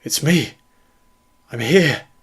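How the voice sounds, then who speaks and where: A man's voice: fairly high pitch, animated, drawn-out words, fairly bright tone, slightly rough, pitched above the performer's own voice, full character voice. Man acting a breathless, manic physicist. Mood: hushed, awed. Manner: breathless, wailing, feverish. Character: manic, desperate male physicist obsessed with his discovery.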